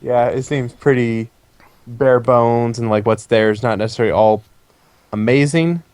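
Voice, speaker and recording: A man's voice: mid-range voice, steady tone, normal speed, darkish tone, clear, resonant tone, dry recording. A man co-hosting a gaming video with dry, sarcastic, casual banter. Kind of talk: casual banter over gameplay.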